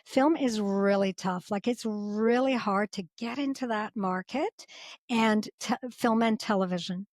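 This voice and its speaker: A woman's voice: mid-range voice, measured pace, no room sound, clean studio sound. A woman from Canada, a former actress now interpreter, telling stories in a casual podcast interview with lots of fillers. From Canada, Edmonton. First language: English. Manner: animated, chatty storyteller with frequent fillers.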